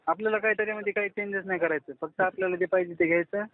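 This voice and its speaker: Marathi guy on a phone line, high voice, casual, keeps repeating his point. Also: steady tone, rapid-fire. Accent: Marathi, Maharashtra. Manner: repeats instructions insistently. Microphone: phone call. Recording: tinny, phone-like call recording.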